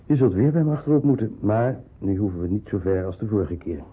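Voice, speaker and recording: A man's voice: fairly low pitch, lively intonation, quick pace, husky. An actor playing a reassuring, matter-of-fact policeman who secretly helps people hide in a wartime radio drama. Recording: noisy, phone-like old radio drama recording.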